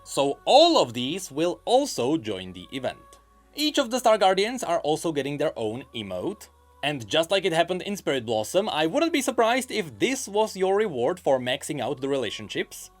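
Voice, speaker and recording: A man hosting a gaming news video, giving scripted, opinionated commentary in a measured, explaining way. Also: fairly high pitch, wildly swooping pitch, soft background music.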